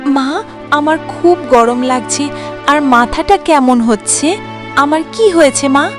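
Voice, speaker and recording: A woman's voice: sits high, takes it slowly, music in the background. A woman voicing a frightened, eager little child bird in a children's cartoon story. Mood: unwell, plaintive.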